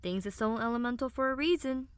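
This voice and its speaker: A woman voicing a sensible, wry young illusionist student in an audio drama, measured and gently chiding. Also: on the high side, clear, resonant tone, no room sound, lightly acted. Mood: mildly dry. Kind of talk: audio drama character banter.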